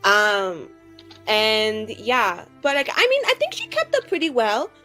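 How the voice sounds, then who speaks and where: A woman's voice: a little high, huge pitch swings, unhurried, sharp tone, soft background music, full character voice. A woman playing a bubbly, vain, scatterbrained young adventurer in a tabletop roleplay, rambling breezily with lots of "like". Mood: breezy, chatty. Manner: rambling, heavy use of "like", breezy.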